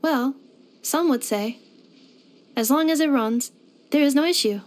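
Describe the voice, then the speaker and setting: Female robot helper for a game: high voice, formal, precise, literal-minded, unfailingly polite. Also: a little slow. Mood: earnest, curious. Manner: formal, precise, clipped system-report phrasing. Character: polite, helpful female robot maid companion, earnest and literal. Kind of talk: scripted video game character voice lines.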